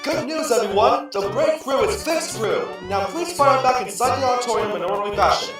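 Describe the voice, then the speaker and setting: A woman's voice: on the high side, expressive, a little fast, music in the background, close to an everyday voice. A woman voicing a chirpy helicopter news reporter and announcer in a cartoon fandub. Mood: announcing.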